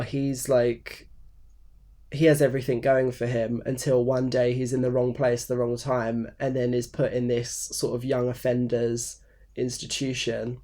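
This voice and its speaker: A man's voice: mid-range voice, darkish tone. Congested young man chatting about books. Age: 20s. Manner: rambling, many fillers and restarts. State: congested, croaky from a cold. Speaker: book vlogger. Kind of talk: casual unscripted talk to camera. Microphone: phone camera.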